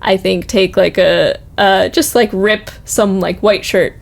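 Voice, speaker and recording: A woman's voice: medium pitch, steady tone, slow pace, gravelly. A young woman chatting casually and enthusiastically, often peppering her talk with "like". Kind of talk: casual chat. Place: office.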